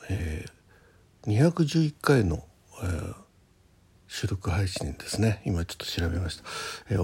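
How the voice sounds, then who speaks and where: A man hosting a casual solo morning radio show, chatting in a halting way, thinking aloud and murmuring to himself, with a husky, gravelly voice. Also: low voice, mellow. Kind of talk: casual solo chat with health log and listener letters.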